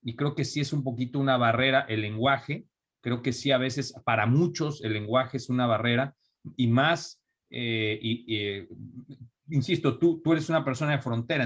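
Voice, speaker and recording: Man, Mexican accent, young adult, reflective, conversational, mid-pitched voice. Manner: thoughtful, restarts often, reflective. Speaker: interview guest, entrepreneur. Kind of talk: conversational interview.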